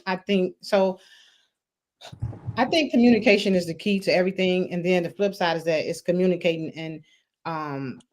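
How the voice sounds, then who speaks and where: A woman with a fairly low voice hosts a casual relationship podcast. She asks questions in a conversational way and presses her points with emphasis. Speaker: podcast host. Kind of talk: casual chat.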